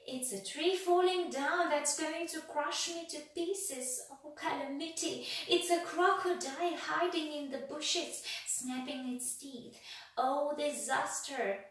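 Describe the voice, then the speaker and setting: A woman's voice: high-pitched, slow pace, fairly bright tone, pitched above the performer's own voice. A woman voicing a timid, jumpy little man who shrieks and stammers in panic, recorded in an echoey room from a distance. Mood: shrieking terror.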